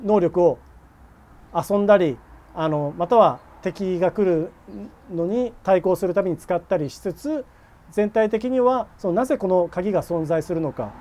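A man's voice: high-pitched, a little slow, dark tone, slight background noise. A man in his forties explaining things politely and somewhat hesitantly as a journalist guest in a video-call interview.

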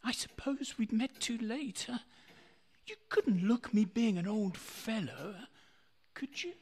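A woman plays an amiable old bachelor in a radio drama. The voice is high and husky, swooping up and down as it dithers wistfully. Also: animated, a little mellow, heavily acted. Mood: wistful, tender. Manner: halting, hesitant, wistful. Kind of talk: radio play acting.

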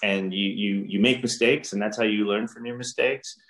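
A middle-aged man speaks in a lowish, unhurried voice, reflecting earnestly and often restarting his sentences. He is talking from a room at home over a webcam call. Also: steady tone, fairly bright tone. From New York. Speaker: guest director. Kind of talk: casual interview. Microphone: video call webcam.

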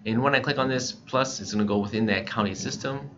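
An American man giving a casual, informal software tutorial, explaining things step by step as an instructor. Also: medium pitch, fast. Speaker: instructor, scanner shop staff. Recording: muffled lo-fi voice-over, faint background noise.

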